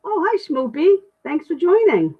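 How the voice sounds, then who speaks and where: A middle-aged woman with a deep voice, chatting candidly and self-deprecatingly on a livestream while reading comments. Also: very animated, unhurried, narrow, tinny audio. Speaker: livestream host.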